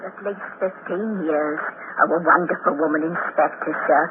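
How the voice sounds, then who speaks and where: A man's voice: fairly high pitch, steady tone, moderate pace, lightly acted. A performer playing a talkative, fussy, deferential landlady in a 1950s radio drama. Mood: chatty, eager. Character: chatty, deferential landlady, gossipy and sentimental. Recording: noisy, tinny 1950s radio recording.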